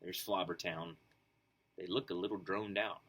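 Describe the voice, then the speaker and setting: A man's voice: low-pitched, normal speed, husky. A man reading a children's book aloud expressively, with enthusiastic casual asides. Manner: enthusiastic, animated. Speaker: storybook reader.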